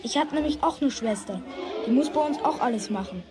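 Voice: high-pitched, expressive, a little fast, over music. A performer playing a streetwise young schoolboy, a chummy classmate in a children's radio play. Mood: curious, chummy.

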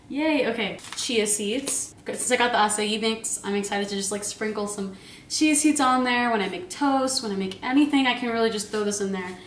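Young woman, 20s, bubbly, giggly, rambling, casual haul vlog, roomy kitchen, faint hum behind. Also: a little high. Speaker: vlogger. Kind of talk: casual chatty grocery haul. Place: home kitchen, indoors. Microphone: camera a few meters away. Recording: roomy kitchen sound, camera at a distance.

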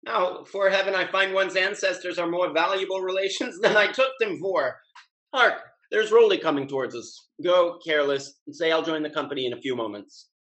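An actor playing a carefree, impulsive young gentleman in a period comedy, with exuberant, declamatory delivery over a video call. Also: high voice, moderate pace. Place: indoors at home, video call.

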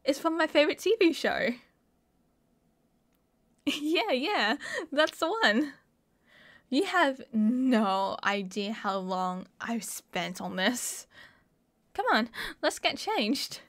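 A young woman with an Australian accent playing an excitable, mischievous college friend in a casual audio roleplay. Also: high-pitched, slow pace, long pauses, exaggerated voice. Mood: excited, playful. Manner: playful, giggly, slangy. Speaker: roleplay voice performer. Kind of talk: ASMR roleplay acting, casual chat to a friend.

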